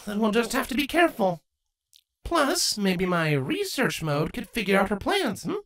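Woman acting a lively young changeling princess. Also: mid-range voice, moderate pace. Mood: upbeat. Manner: casual, earnest. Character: young changeling princess daughter, bookish, caring, lightly playful. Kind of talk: acted fan fiction audiobook dialogue.

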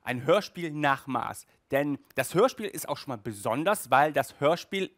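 A man's voice: a little high, lively intonation, measured pace, fairly bright tone, no room sound. A man in his thirties presents a casual review in a chatty, emphatic way. He takes his time, dropping in little tag words as he goes. The headset sound is close and dry. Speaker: audio drama reviewer and host. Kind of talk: casual review talk to camera. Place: small indoor room at home.

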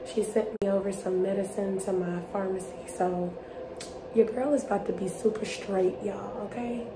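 A young woman, chatting casually to her viewers in a vlog, congested and hoarse from a cold. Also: mid-range voice, fairly even intonation, normal speed, noisy background. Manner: chatty, addresses viewers as y'all. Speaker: vlogger. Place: parked car.

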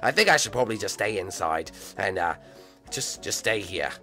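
A man voicing a vain, grumpy villain father who mumbles, stammers and trails off in a flat tone. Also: fairly low pitch, normal speed, soft background music, pitched below the performer's own voice. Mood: weary. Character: grumpy, vain villain dad, tired and flustered.

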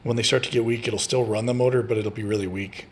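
A man's voice: fairly low pitch, steady tone, fast talker. A man talking informally and in a laid-back way as he explains a hands-on repair for his DIY channel, recorded indoors on a handheld camera.

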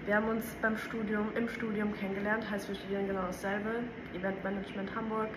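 A woman's voice: mid-range voice, flat delivery, fast. Easygoing young woman with some hiss. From northern Germany. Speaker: student ambassador vlogger. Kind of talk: casual chat to camera. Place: large indoor hall.

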